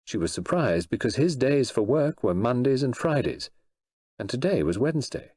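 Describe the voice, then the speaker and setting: A man reading fiction aloud as an expressive audiobook narrator. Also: mid-range voice, moderate pace, breathy edge, no room sound, clean studio sound. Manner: expressive storytelling, voices the characters.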